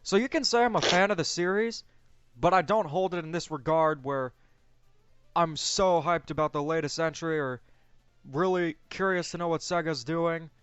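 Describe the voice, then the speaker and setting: A man's voice: a little high, moderate pace, a bit bright, clean tone, no room sound, lo-fi sound. A young man speaking as a discussion host in a casual, conversational style. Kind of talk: casual chat.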